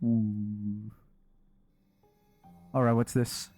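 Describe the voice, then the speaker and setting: A man doing casual live gameplay commentary, exclamatory and quick to swear. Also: lowish voice, little pitch movement, mellow, soft background music. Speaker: game streamer.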